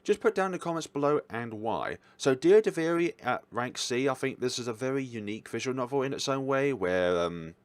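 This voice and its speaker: A man's voice: medium pitch, expressive, fairly quick, hardly any pauses, clear, resonant tone, dry recording. A man giving casual, informal commentary as a reviewer, talking in a rambling way. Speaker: visual novel reviewer.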